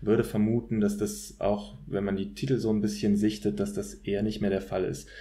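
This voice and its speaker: Male podcast discussant, lower register, relaxed dark tone, measured and analytical but informal.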